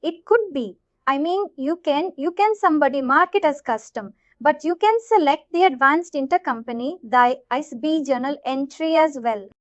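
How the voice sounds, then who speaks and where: A woman working as a software trainer, narrating an informal screen-share tutorial in an explanatory way, often checking in as she goes. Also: high-pitched, moderate pace, few pauses, no echo. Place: voice-over of a screen recording. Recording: dull, lo-fi close dry audio.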